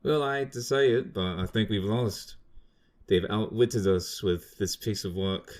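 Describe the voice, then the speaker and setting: A man's voice: lowish voice, unhurried, darkish tone, close to an everyday voice. A man playing a sardonic, disbelieving mercenary in an audio drama, talking with open sarcasm. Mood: mock defeat.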